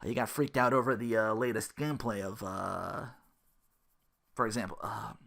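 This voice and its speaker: A podcast host giving casual, exasperated and sarcastic commentary in an animated way, swearing freely. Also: mid-range voice, normal speed, vocal fry. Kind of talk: casual ranting commentary about an internet personality.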